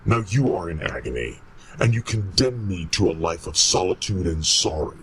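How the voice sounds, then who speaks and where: A man playing a menacing, vengeful monster in a horror radio play, speaking huskily with slow, ominous deliberation. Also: sits low, big pitch swings, fairly bright tone, slight background noise, poor audio. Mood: bitter, sorrowful. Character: menacing male monster, vengeful creation tormenting its maker. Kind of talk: amateur radio-play style horror acting.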